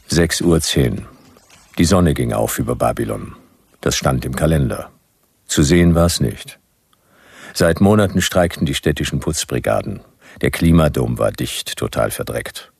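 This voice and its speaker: Very deep, gravelly weary detective. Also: male voice, normal speed, no room sound, studio quality, full character voice. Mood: world-weary. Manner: deadpan, laconic, clipped sardonic phrases. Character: grumpy, world-weary male private detective. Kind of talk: hard-boiled radio play narration.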